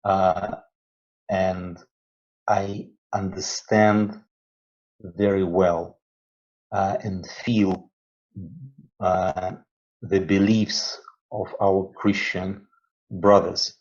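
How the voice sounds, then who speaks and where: A man with a Russian accent answers in halting English, with fillers and restarts as he gathers his thoughts. His voice sits very low and carries a rough, gravelly fry. The recording sounds dull and lo-fi. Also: little pitch movement, a little mellow. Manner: halting, searching for words, many fillers. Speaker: interview guest, poet and theologian. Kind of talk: conversational interview answers.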